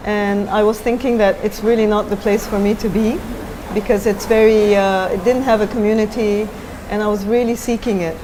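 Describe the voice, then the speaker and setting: A woman's voice: medium pitch, fairly even intonation, moderate pace, few pauses, background noise. A middle-aged woman from Japan, a designer talking as a guest in an onstage interview, thoughtful and hesitant with many fillers.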